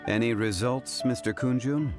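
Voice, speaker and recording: A man playing a calm, dignified, scholarly immortal in a video game, speaking in a measured, formal and composed way. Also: mid-range voice, close to an everyday voice. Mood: calm, directing. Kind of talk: video game character acting. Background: game background music.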